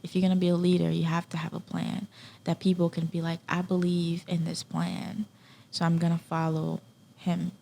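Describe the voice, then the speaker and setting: A woman with a slightly low, noticeably creaky voice talks as a podcast guest. She is casual and earnest, and she drives points home by repeating key phrases. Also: monotone, moderate pace, mellow, a little hiss or hum. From Haiti. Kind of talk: casual interview conversation.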